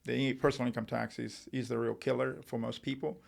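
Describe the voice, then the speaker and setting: A middle-aged man from Uruguay, raised in Spain, telling his story as an interview guest in a relaxed way, with many fillers and restarts. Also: medium pitch, brisk, gravelly. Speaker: entrepreneur guest. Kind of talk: podcast interview.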